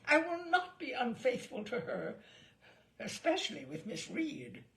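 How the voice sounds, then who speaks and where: A woman's voice: sits high, very animated, a little slow, vocal fry, fairly bright tone, exaggerated voice. A woman voicing a young male ship's mate, earnest and stubbornly protesting, in a storybook reading.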